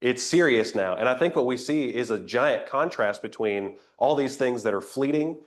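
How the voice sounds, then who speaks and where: A man's voice: medium pitch, expressive, moderate pace. A man teaching an interactive Bible class, a little nasal from a cold, conversational and asking the group questions. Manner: conversational, invites comments, rhetorical questions.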